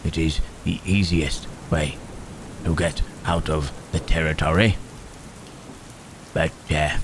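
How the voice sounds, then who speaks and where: A man voicing Frankenstein's monster, a gentle, brooding, long-lived creature, in halting, musing phrases for an ASMR roleplay drama. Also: extremely low pitch, noisy background. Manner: halting, deliberate phrasing with musing questioning murmurs. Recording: steady rain and thunder sound effects. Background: rain and thunderstorm ambience.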